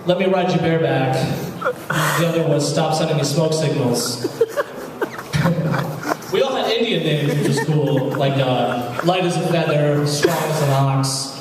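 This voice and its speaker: A man's voice: on the high side, a little slow, background noise. A young man doing a stand-up comedy set at a college open mic, telling crude, self-mocking jokes in a casual way. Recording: echoey hall, distant mic, crowd laughter. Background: audience laughing.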